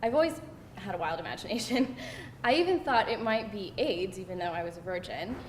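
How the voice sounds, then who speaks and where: A woman's voice: animated, fairly quick, close to an everyday voice. A woman acting a candid, sarcastic young woman at a live screenplay read, in a conversational style. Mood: amused confession. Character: candid, wry, sarcastic young woman recounting her teens. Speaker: actor at a screenplay table read. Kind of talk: live read of conversational comedy-drama dialogue. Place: live table read in a room. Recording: roomy, distant mic, faint rumbling noise.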